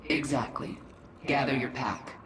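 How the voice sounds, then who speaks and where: A woman playing a commanding, vengeful alien queen in a video game, speaking with grave, deliberate authority. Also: very deep voice, fairly even intonation, background noise. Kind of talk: dramatic video game acting. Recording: echoing voice under loud music.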